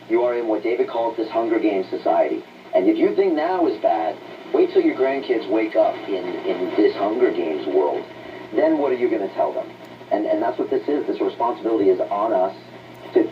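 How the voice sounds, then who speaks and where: A man talking as an interview guest, earnest and emphatic, repeating phrases for weight, heard on muffled playback. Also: fairly low pitch. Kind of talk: impassioned interview talk. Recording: distant, muffled, noisy playback through a speaker.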